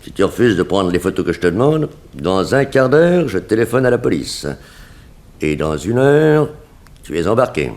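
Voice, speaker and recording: An actor playing a calm, coercive and sardonic blackmailer in a 1960s French radio crime drama. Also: male voice, lowish voice, moderate pace. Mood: menacing. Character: menacing male blackmailer, smooth crook in haute couture.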